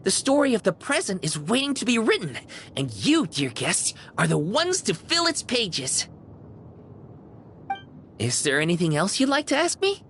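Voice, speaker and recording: A bubbly, courteous toy concierge, swooping intonation. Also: female voice, mid-range voice, very animated, normal speed, a bit bright, slight background noise. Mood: enraptured, theatrical. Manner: courteous, theatrical, effusive. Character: polite, bubbly living stuffed toy concierge. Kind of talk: scripted video game character acting.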